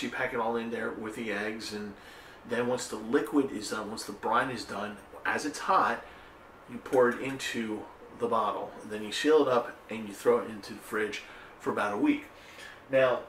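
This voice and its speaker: A man's voice: mid-range voice, a bit bright, far from the mic, a little hiss or hum. A middle-aged man hosting a home cooking video, talking casually with lots of ums and restarts. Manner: rambling and chatty, many ums and restarts; gestures with hands while talking. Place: home kitchen, indoors. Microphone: camera a little way off. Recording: echoey kitchen, voice a bit off-mic.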